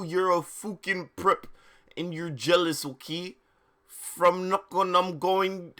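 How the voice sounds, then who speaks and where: A male streamer with a bright, forceful voice sitting a little high in pitch. He takes his time, moving between dramatic reading and casual joking commentary. His delivery is slangy and halting, with repeated words and an exasperated edge. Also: clear, resonant tone. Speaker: live streamer.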